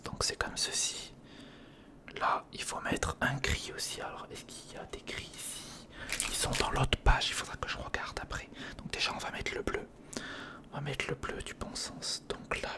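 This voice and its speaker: Whispering man, ASMR build-along. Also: medium pitch. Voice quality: breathy, unvoiced whisper. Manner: informal, reassuring, talks listener through each step. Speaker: ASMR video creator. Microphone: close sensitive recorder microphone.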